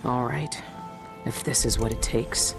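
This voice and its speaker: A woman's voice: a bass voice, fairly even intonation, unhurried, over music. An actress playing a tough, world-weary female assassin in a video game, dry, sardonic and terse. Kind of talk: video game dialogue and inner monologue.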